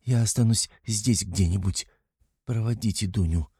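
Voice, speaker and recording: A man's voice: fairly low pitch, moderate pace, mellow, slightly rough, no room sound, clean studio sound. A man voicing a timid, anxious middle-aged mother in an audiobook, tearful and easily frightened, pleading and fretful. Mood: pleading, distressed.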